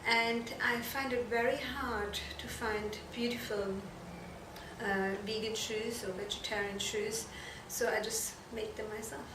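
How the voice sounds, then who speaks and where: High-voiced woman, echoey distant recording. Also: steady tone, a little slow. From Germany. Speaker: fashion and shoe designer being interviewed. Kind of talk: informal interview answers. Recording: echoey room, distant mic, noisy background.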